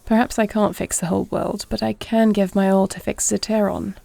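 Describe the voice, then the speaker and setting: A woman playing a duty-bound warrior queen and tender wife in an intimate audio roleplay, speaking in a measured, earnest, formal way. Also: mid-range voice, steady tone, gravelly, dark tone, no room sound. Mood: somber, sad. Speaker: voice actor.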